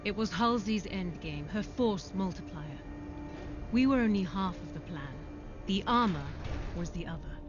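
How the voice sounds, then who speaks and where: Woman playing solemn female soldier, reflective film narration, clear music underneath, slightly dull sound. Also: medium pitch, expressive, moderate pace, natural voice. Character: solemn female supersoldier recalling her comrades. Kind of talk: scripted character narration in animated film.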